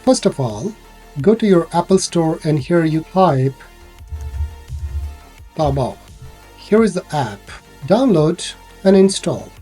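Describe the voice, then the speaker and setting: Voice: mid-range voice, very animated, takes it slowly, long pauses, mellow, husky. Informal app tutorial presenter over music. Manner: informal, instructional.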